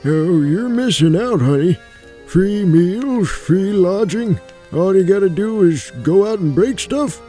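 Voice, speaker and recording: Man acting a mellow, sardonic old jailbird. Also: sits high, lively intonation, takes it slowly, faint music behind. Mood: wry, world-weary. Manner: sardonic, familiar, casual. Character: wry, streetwise, chatty elderly dwarf jailbird. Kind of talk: tabletop fantasy audio drama acting.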